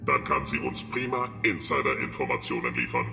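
A man's voice: a bass voice, big pitch swings, brisk, full character voice. A man playing a sly, servile, scheming henchman to a villain boss in a children's radio play. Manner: scheming, obsequious. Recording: long hall reverb, music underneath.

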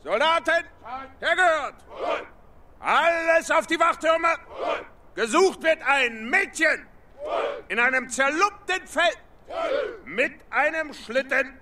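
A naive, blunt castle soldier for a children's radio play. The voice is very high and forceful, swinging widely in pitch. He comes across as simple-minded and eager. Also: animated, slow pace, slight background noise, full character voice. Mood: barking orders.

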